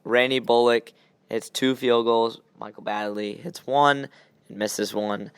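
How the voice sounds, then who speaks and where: A man's voice: mid-range voice, steady tone, measured pace, clean tone, no echo. A young man hosting a podcast, chatting casually and giving blunt opinions on sports. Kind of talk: casual sports chat, game predictions.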